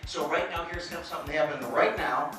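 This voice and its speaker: A man's voice: on the high side, fairly even intonation, fairly quick, music in the background. A middle-aged man giving a comedic stage talk, with a slight stutter and self-mocking jokes. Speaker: motivational speaker. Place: presentation hall. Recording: echoey hall, mic from far off.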